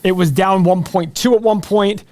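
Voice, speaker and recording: Guy with a pretty high voice doing live market talk, explains stuff right to viewers, chatty. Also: fairly even intonation, moderate pace, mellow. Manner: conversational, explains by addressing viewers directly. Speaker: finance show host. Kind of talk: live stock earnings commentary.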